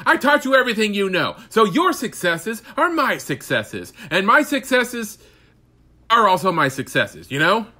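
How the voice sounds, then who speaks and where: A man's voice: sits high, very animated, normal speed, pushed and bright, pitched above the performer's own voice, exaggerated voice. A man playing a smug, arrogant self-proclaimed genius with a conceited, condescending manner.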